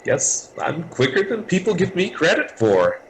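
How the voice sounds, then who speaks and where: A man's voice: fairly high pitch, huge pitch swings, normal speed, a little hiss or hum, rough recording. A man playing a young, stoned, self-satisfied thrill-seeker in an audio drama. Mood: smug.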